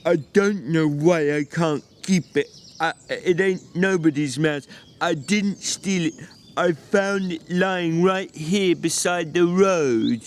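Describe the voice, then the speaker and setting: Guy doing big slow childlike man in an audiobook, timid n pleading, drawn out words, kinda high. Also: a little hiss or hum. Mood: sheepish. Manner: slow, halting, dejected pleading. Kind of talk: dramatic audiobook reading.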